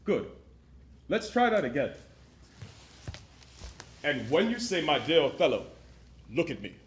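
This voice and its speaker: A man's voice: on the high side, sing-song, a little slow, slight background noise, low-quality recording. An actor playing a passionate stage actor who coaxes his scene partner and declaims verse with swelling volume. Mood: coaxing. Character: passionate male stage actor rehearsing a romantic lead. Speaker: actor in a dramatized rehearsal. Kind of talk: period drama acting, reciting verse.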